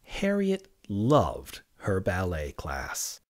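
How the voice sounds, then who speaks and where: A man reading a children's story aloud with expressive, deliberate and dramatic phrasing. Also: low-pitched. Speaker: storybook reader.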